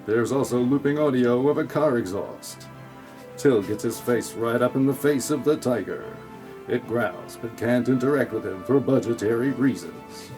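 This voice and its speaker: A man's voice: medium pitch, normal speed, over music. A man reading scripted comic narration for an audio play in a wry, flippant way.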